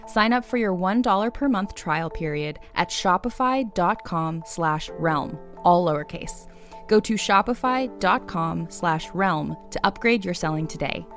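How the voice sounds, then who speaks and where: A woman's voice: on the low side, fairly bright tone. A woman delivering a scripted podcast sponsor read. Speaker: podcast network ad reader.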